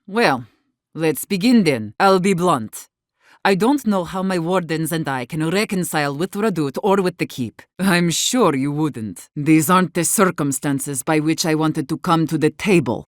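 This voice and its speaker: A woman's voice: sits low, animated, unhurried, no echo, clean studio sound. An actor, a woman, playing a blunt, guarded and embittered leader of besieged wardens in a video game. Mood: guarded, weary. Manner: blunt, guarded, sharp-tongued, swearing. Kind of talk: video game character dialogue.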